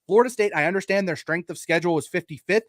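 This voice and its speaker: Man, higher voice, sports podcast co-host, emphatic and argumentative debate style, casual swearing, dry room sound. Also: very fast, no echo. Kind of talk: opinionated college football debate.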